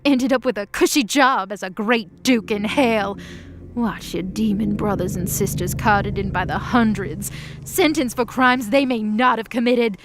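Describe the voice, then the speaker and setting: A woman's voice: fairly high pitch, noisy background, natural voice. A woman playing an embittered, defiant demon of hell in an audio drama, speaking with passion and slangy sarcasm. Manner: impassioned, sarcastic, casual slangy speech. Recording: prominent music under the voice.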